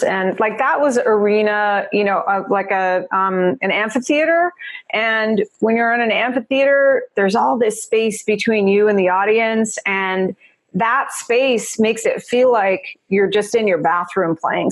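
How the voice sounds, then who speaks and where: Laughing Jersey woman, chatty guest. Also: medium pitch. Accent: New Jersey. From New Jersey. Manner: laughs often, chatty with many fillers. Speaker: guest, mindfulness teacher. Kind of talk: casual podcast interview.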